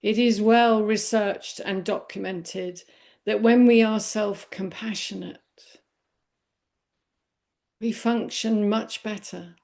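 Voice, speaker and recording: A woman leading a guided body scan meditation in a gentle, unhurried manner with long pauses. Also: medium pitch, fairly even intonation, gravelly. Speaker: meditation teacher.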